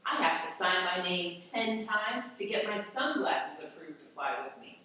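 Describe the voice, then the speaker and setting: A woman's voice: on the low side, lively intonation, measured pace, sharp tone. A woman playing a brash, bumbling FBI agent in a comic radio play, officious and griping. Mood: exasperated. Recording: echoey room, distant mic, faint noise.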